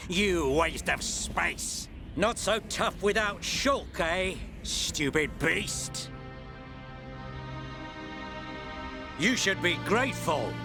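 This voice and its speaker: Cocky british traitor villain for a game, taunting, takes his time, loud score behind. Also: sits high, animated, drawn-out words, few pauses, exaggerated voice. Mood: mocking. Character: gloating middle-aged male traitor, veteran warrior, villain. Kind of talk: video game cutscene acting. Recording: loud music over the voice.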